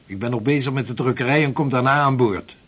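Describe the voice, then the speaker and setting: An actor as a solemn, driven Dutch explorer. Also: male voice, medium pitch, quick pace, loud music behind the voice, natural voice. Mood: commanding, impatient. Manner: solemn, formal, authoritative. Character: driven, devout 16th-century male Dutch Arctic explorer. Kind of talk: historical radio play acting.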